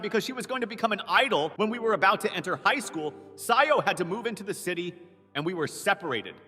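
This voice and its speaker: Manga dub lead: male actor as earnest lovesick young man, bright and high, anxious inner monologue, music behind. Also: in a large hall, full character voice. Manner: earnest, self-doubting inner monologue with startled outbursts. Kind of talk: animated comic dub with first-person narration. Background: background music.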